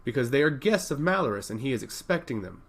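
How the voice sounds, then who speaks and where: A man voicing a brisk, self-assured young woman priest in a fantasy audiobook, formal and matter-of-fact. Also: medium pitch, big pitch swings. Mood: polite, insistent.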